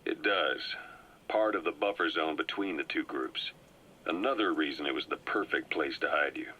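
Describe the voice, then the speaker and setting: An actor playing a calm, well-informed agent who briefs a comrade in a measured, explanatory way. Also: male voice, very deep voice, husky, full character voice. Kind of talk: video game cutscene voice acting. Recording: muffled cassette tape audio.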